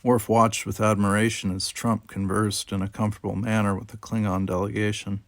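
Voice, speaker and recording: A man's voice: lowish voice, little pitch movement, a little fast, no room sound. A man reading a novel aloud as a scripted audiobook narrator.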